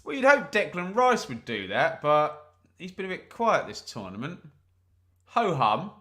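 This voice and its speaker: Man, football watch-along host, live commentary, jokey asides, bright voice. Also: fairly high pitch, huge pitch swings, a little slow. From England. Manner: chatty, joking, asides to the live chat. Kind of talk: live match commentary and chat.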